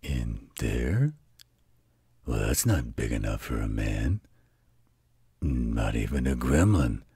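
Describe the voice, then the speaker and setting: A man playing a gruff, protective demon father in a fantasy audio roleplay, with a gravelly voice and a reassuring drawl. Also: big pitch swings, takes it slowly, mellow, no room sound, studio quality, full character voice. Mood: puzzled, wry. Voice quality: very deep, gravelly husky voice.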